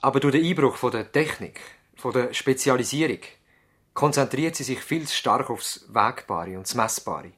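An actor with a Swiss German accent playing an earnest, idealistic young doctor in a 1970s radio play, speaking in a measured, formal way. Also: male voice, mid-range voice, lively intonation, fairly bright tone, husky. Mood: respectful, thoughtful.

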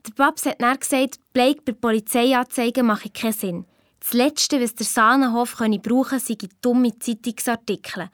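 A woman's voice: on the high side, moderate pace, no echo, natural voice. A woman playing a young Swiss girl detective with a Swiss German accent, telling a story colloquially in a children's radio play. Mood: eager retelling. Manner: colloquial, excited retelling.

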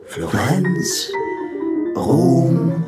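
A man's voice: on the high side, sing-song, extremely slow, loud music behind the voice, exaggerated voice. An actor in a German radio play, playing a deluded young man who chats tenderly to his imagined beloved. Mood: tipsy romantic. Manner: tender, courting, chatty to someone absent.

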